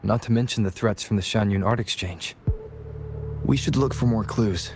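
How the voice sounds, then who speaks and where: An actor playing a composed, analytical young investigator in a video game, explaining in a measured way. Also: male voice, lowish voice, creaky, music in the background. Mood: calm, focused.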